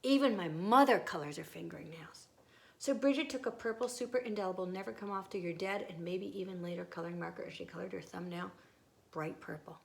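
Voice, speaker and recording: A woman who is a teacher reading a picture book aloud to children, expressive and emphatic, with asides to her young listeners. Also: on the low side, fairly quick, creaky.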